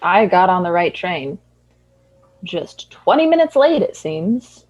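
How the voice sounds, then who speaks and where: A woman's voice: lowish voice, sing-song, slow pace, a little mellow, lo-fi sound, full character voice. A young actress playing a tipsy, dry-witted woman from a small town in a radio drama. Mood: wry, tipsy.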